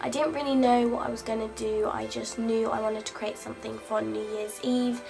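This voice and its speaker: A woman's voice: on the high side, little pitch movement, a little fast. A young woman, stuffed up from a cold, chattily talking through a makeup tutorial step by step. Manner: chatty, friendly, step-by-step explaining. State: congested, says she is sick. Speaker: beauty vlogger. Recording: voice-over with clear music behind.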